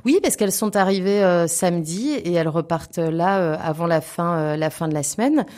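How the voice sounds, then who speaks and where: A woman's voice: lowish voice, normal speed, a little mellow. A woman speaking as a theatre guest in a spontaneous interview, earnest and emphatic while often searching for words. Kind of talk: spontaneous radio interview conversation.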